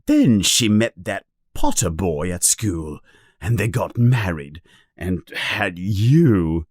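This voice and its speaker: A man's voice: on the high side, huge pitch swings, takes it slowly, dry recording, clean studio sound, pitched above the performer's own voice, full character voice. A man voicing a resentful middle-aged aunt in a storybook reading, ranting shrilly and angrily. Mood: bitter, angry.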